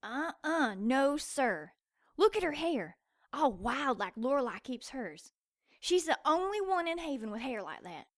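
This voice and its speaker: A woman's voice: high voice, big pitch swings, a little slow, dry recording, studio quality. A woman playing a feisty, stubborn young sidekick in an audio drama, insistent and folksy in her speech. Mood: eager.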